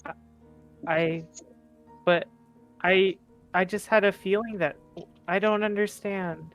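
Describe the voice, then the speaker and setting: A man's voice: high voice, steady tone, slow, a bit bright, soft background music. A man playing a tabletop game, chatting casually in and out of character, hesitant and repeating words. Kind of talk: casual roleplay chat.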